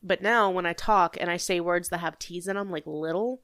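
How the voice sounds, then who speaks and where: A woman's voice: lowish voice, normal speed, a bit bright, clear, resonant tone, dry recording, clean studio sound. A woman co-hosting a casual podcast, joking, giggling and enunciating carefully. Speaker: podcast co-host. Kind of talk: casual chat.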